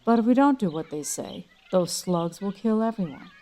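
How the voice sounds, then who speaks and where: A woman's voice: medium pitch, animated, normal speed, dark tone, close to an everyday voice. An actress playing an anxious but resigned suburban mother in an audio drama, speaking in an earnest, conversational way. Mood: fearful resignation.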